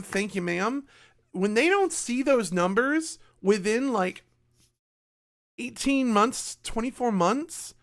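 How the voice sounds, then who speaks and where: A man with a slightly raspy voice giving a chatty, self-mocking channel update to his viewers. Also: sits high, a bit bright. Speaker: YouTube creator. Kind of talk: casual channel update monologue.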